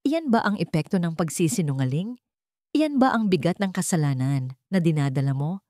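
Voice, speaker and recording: A woman's voice: fairly low pitch, lively intonation, moderate pace, mellow, dry recording, lightly acted. A woman narrator plays a cold husband in a Filipino drama story, suspicious and dismissive. The voice has a Filipino accent and a dry, close sound. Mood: accusing.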